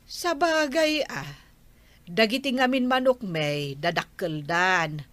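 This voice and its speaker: A woman with an Ilocano accent acting an expressive, indignant village wife in a comedy drama. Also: mid-range voice, slow, no room sound. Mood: animated, complaining.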